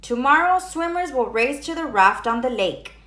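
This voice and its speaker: Woman as a bossy, high-voiced male camp director. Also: expressive, moderate pace, sharp tone. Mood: commanding. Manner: emphatic, announcing. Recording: roomy echo.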